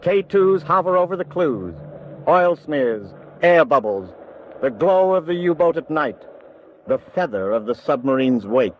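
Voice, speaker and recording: An actor playing a precise, authoritative announcer in a wartime documentary radio drama. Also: male voice, fairly high pitch, sing-song, unhurried, highs cut off, a little hiss or hum. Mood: factual. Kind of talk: formal documentary radio narration.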